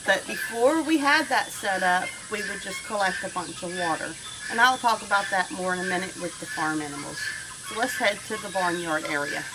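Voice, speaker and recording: A woman's voice: mid-range voice, brisk. A Southern American woman homesteader, walking around her farm outdoors and giving plain, practical advice in a casual, instructive way. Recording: outdoor farm recording with noise.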